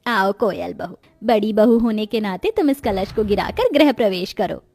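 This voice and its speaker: A woman's voice: mid-range voice, expressive, normal speed, darkish tone, soft background music. A woman playing a firm, fair-minded middle-aged mother-in-law in a cartoon moral story, with a commanding, matronly manner. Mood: welcoming.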